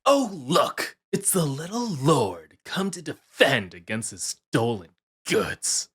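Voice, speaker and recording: Casting: male actor as a predatory ghoul, husky and dragging, lilting menace, audio drama. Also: mid-range voice, sing-song, a bit bright, no room sound, studio quality, full character voice. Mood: gloating. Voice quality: husky, drawn-out hiss. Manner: crooning, predatory, savoring each word. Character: slow, menacing ghoul servant craving blood. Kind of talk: ASMR roleplay audio drama.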